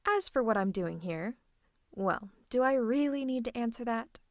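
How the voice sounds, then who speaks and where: A woman's voice: fairly high pitch, expressive, normal speed, no echo, clean studio sound. A woman playing a cocky, flirtatious villain who teases and mocks in a theatrical roleplay audio. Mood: mocking, playful. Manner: teasing, mocking, theatrical. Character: cocky, flirtatious female villain taunting a hero. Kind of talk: scripted villain roleplay ASMR.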